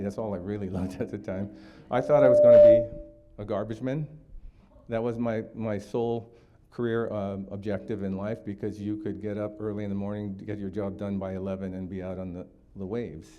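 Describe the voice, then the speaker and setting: A man's voice: fairly low pitch, level tone, normal speed, loud music behind the voice. A man in his sixties from Hawaii, giving a community talk for a district attorney's office, explaining steadily with frequent fillers.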